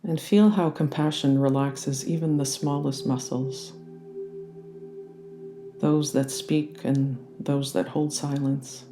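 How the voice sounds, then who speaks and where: A meditation guide leading a guided relaxation in a gentle, soothing and unhurried manner. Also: mid-range voice, fairly even intonation, music in the background. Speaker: yoga nidra meditation guide.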